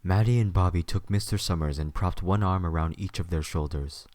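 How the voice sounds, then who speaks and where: Deep-voiced male story narrator. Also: a little fast, a little mellow, no echo, clean studio sound.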